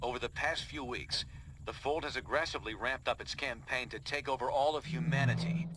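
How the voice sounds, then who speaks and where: An actor playing a serious superhero leader who delivers scripted mission briefings in a video game with urgent authority. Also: male voice, medium pitch, fairly quick, lightly acted. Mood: grave. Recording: noisy background.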